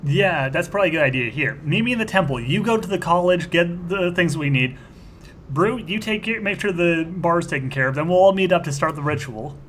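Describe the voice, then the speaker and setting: A man's voice: a little high, expressive, fairly quick, a bit bright, slight background noise. A man chatting casually as he improvises in a tabletop roleplaying game, in a rambling, colloquial way.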